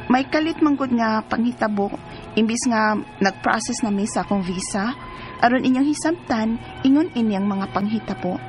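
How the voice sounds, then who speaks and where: A woman playing a lovestruck, excitable young Filipina in a radio drama, expressive, with a Cebuano accent. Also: a little high, moderate pace, over loud music, natural voice. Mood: earnest. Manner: gushing, expressive.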